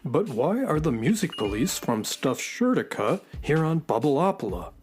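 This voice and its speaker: A man's voice: on the high side, big pitch swings, a little slow, darkish tone, faint music behind. A man playing a worldly, wry space-adventurer sidekick in a children's audio drama, speaking expressively. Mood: concerned.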